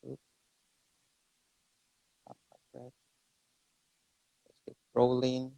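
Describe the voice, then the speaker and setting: A man's voice: mid-range voice, monotone, very slow, creaky, warm tone, no room sound, poor audio. A man from Rica, hosting a casual live video chat in English and often repeating words as he searches for them. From Costa Rica. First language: Spanish. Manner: rambling, repeats words while finding them. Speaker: live stream host.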